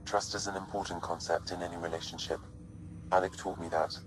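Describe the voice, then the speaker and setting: A man voicing a calm, measured artificial intelligence companion in a video game, speaking with precise, formal phrasing. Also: low-pitched, lightly acted. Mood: calm, explanatory. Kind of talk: scripted video game dialogue. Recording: music behind the voice.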